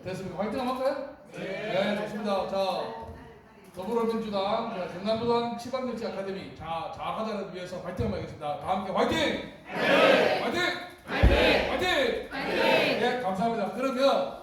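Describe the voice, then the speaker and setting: A man's voice: sits high, lively intonation, brisk, distant mic. A man with a Gyeongsang accent hosting an event, upbeat and leading the crowd in cheers. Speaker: event emcee. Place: meeting hall with an audience. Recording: echoey hall with a loud crowd.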